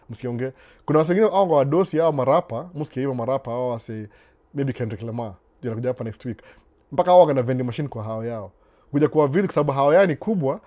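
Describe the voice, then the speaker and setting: A man hosting a casual live stream. He answers viewer questions in a relaxed, unhurried way and moves freely between Swahili and English. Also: mid-range voice. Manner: reads viewer comments aloud and responds to them.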